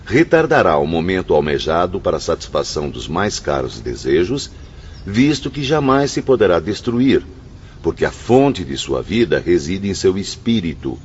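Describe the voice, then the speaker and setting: A man's voice: deep voice, darkish tone. A Brazilian actor playing a solemn, grave man in a radio drama, declaiming with emphasis. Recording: dull lo-fi audio, faint background noise.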